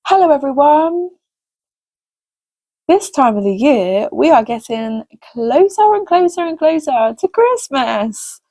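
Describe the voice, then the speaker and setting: Woman, children's storyteller, fairly high voice, animated, unhurried, expressive. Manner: expressive, simple direct address to children. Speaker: host reading children's stories.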